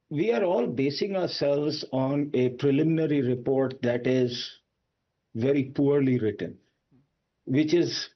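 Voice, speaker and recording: A man's voice: fairly high pitch, highs cut off. A man with an Indian accent giving emphatic, argumentative expert analysis on a news debate. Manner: emphatic, argumentative, with rhetorical questions. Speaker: aviation expert panelist.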